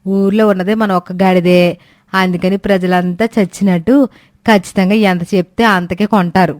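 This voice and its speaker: A nagging village wife, acted by a woman storyteller, low in pitch and recorded dry. She is greedy, scolding and sarcastic, in a storybook moral tale. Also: fairly even intonation, normal speed, no room sound, close to an everyday voice. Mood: demanding.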